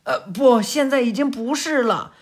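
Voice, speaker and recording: A frightened young henchman in a retold cartoon story, as a male narrator plays him. His voice is high and shaky, and he stumbles over his words while pleading for forgiveness. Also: normal speed. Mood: tearful. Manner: stammering, pleading. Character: timid young male henchman, tearful and apologetic.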